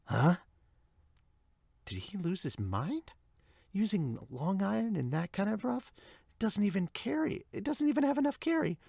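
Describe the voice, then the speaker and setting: A man playing an excitable commentator calling a golf match in a manga dub. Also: high voice, normal speed, vocal fry, pauses often, husky, dry recording, studio quality, voice pushed up, exaggerated voice. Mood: shocked. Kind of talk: sports commentary.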